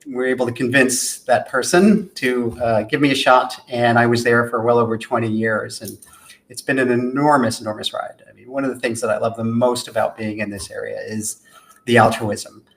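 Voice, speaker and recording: Soft-spoken man with an even voice. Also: medium pitch, gravelly, poor audio. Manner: measured and articulate, lists points. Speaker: podcast guest. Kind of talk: interview answers.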